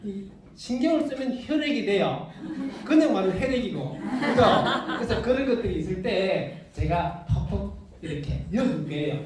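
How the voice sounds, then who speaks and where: A man's voice: sits high, very animated, moderate pace, a crowd in the background. A man with a Gyeongsang Korean accent giving an unscripted health lecture to a live class. He chats freely, checks whether the class is following and laughs often. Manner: chatty, asks the class for agreement, laughs often. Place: lecture room with a live audience. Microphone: no working mic, heard from across the room. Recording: very echoey room, distant from mic.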